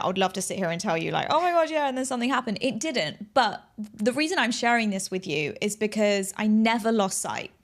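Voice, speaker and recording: A woman speaking as an interview guest, telling personal stories conversationally in an earnest, emphatic, motivational way. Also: medium pitch. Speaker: interview guest, aspiring TV presenter telling career story.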